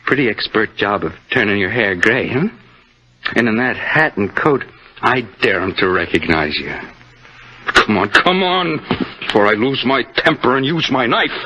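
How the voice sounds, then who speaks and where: A man's voice: mid-range voice, moderate pace, breathy edge, heavily acted. An actor playing a boastful, menacing killer on the run in an old-time radio suspense drama, gloating and needling as he talks. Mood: impatient, menacing. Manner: gloating, stammering excitedly, needling. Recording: muffled, noisy old radio drama recording.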